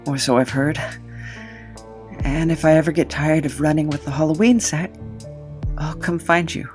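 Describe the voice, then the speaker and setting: A man's voice: a little high, normal speed, a little mellow, close to an everyday voice. Man acting weary drifter hero in an audio drama, kinda hesitant and resigned, music faintly behind. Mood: wistful, resigned. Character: weary, drifting, self-effacing young male adventurer.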